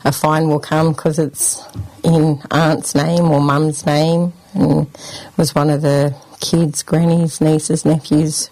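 A woman's voice: low-pitched, level tone, slow, mellow, dry recording, rough recording. Plain-spoken Australian woman, dry close sound. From Sydney, Australia. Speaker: outreach officer, interview guest. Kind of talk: conversational interview answers.

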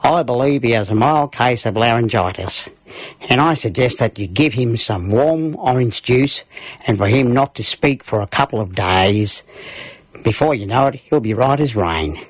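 A man playing a calm, gentle family doctor in a children's story, speaking in a measured, formal way. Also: mid-range voice, husky, dry recording. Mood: calm, reassuring.

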